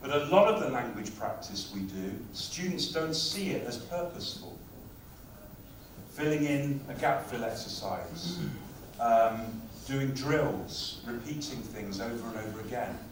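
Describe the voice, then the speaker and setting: A man giving a conference talk on language teaching, speaking in a lively, conversational way. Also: medium pitch, measured pace, slight background noise. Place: conference hall. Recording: very echoey hall, far from mic.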